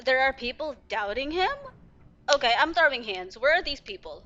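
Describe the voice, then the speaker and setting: Young gamer girl, high bright voice, gushy casual commentary, slightly lo-fi mic. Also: normal speed. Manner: gushing, expressive. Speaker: game streamer. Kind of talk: casual gaming reaction commentary. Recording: dull lo-fi mic. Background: faint game music.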